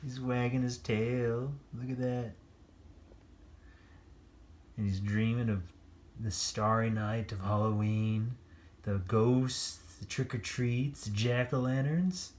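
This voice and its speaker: Children's read-aloud host: unhurried, playful delivery, drifting into chatty asides about the pictures. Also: medium pitch, steady tone, darkish tone. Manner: playful, lingering over pictures with exclamations. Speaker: children's story-time reader.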